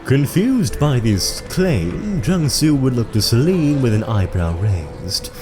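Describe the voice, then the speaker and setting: An actor playing a solemn storyteller, narrating a fantasy audio drama in a dramatic, literary manner. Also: male voice, medium pitch, big pitch swings, slow, over music. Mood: suspenseful.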